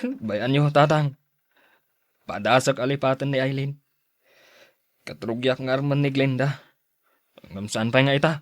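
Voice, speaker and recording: A man's voice: mid-range voice, unhurried, long pauses, dry recording, studio quality, close to an everyday voice. An actor with a Filipino accent playing a lovesick, heartbroken man who drinks, with an emotional, pleading delivery in radio drama style. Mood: despairing.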